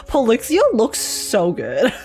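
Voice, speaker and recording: A young woman doing casual, giggly and expressive reaction commentary as she streams a game. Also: on the high side, slow. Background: game music.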